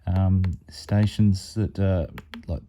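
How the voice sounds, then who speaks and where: A man's voice: sits low, flat delivery, slow pace, mellow, slight background noise. A man giving an informal, unscripted step-by-step product demonstration as a hobby reviewer.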